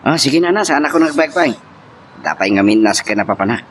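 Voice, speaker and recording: A man's voice: mid-range voice, lively intonation, fast talker, fairly bright tone, natural voice. An actor playing a chatty, familiar man in an Ilocano drama. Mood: friendly. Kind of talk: Ilocano radio-style drama acting. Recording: faint background noise.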